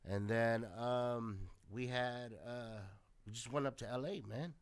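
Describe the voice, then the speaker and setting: A man's voice: mid-range voice, unhurried, clear, resonant tone. A man with a chest cold chatting casually on a podcast, laid-back and swearing a lot. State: congested. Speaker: podcast co-host. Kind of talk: casual chat.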